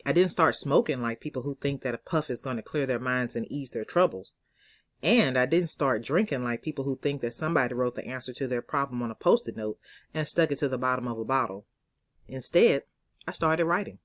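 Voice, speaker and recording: A woman's voice: a bass voice, a little fast, no room sound, clean studio sound. Woman narrating a sassy first-person story. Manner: conversational, sassy child's-eye storytelling. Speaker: author reading own novel.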